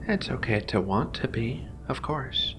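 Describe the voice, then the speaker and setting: Male ASMR roleplayer, gentle alien researcher: slow, soothing, reassuring delivery with music underneath. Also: mid-range voice, animated. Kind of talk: soft-spoken ASMR roleplay.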